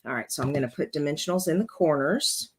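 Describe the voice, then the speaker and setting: A woman with a rough, congested voice hosting a casual card-making tutorial, chatting her way through each step as she works. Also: low-pitched, expressive, fast talker.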